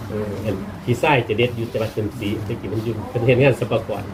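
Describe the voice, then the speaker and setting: A man's voice: mid-range voice, steady tone, racing. A man with a northeastern Thai Isan accent, chatting casually as he shows visitors around. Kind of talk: casual chat. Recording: noisy outdoor ambience with vehicle sounds.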